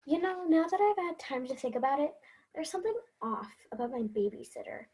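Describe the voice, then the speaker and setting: A woman's voice: high-pitched, animated, brisk, mellow. A woman playing Sam, an earnest, curious little girl asking questions, in an amateur stage play. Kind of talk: amateur theatre acting.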